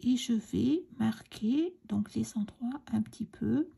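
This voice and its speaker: Woman, hoarse from a cold, gravelly, mellow, chatty crafting tutorial host. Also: a little high, slow. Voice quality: raspy, breathy, gravelly. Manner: chatty, hesitant, often half-whispering while working. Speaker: card-making tutorial host.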